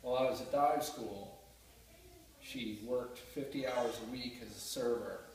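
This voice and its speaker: A man's voice: mid-range voice, measured pace, a little mellow. Earnest man speaking in an echoey room. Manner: earnest, heartfelt, with small restarts. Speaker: student giving a class speech. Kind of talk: prepared speech. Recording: roomy echo, mic far off, faint noise.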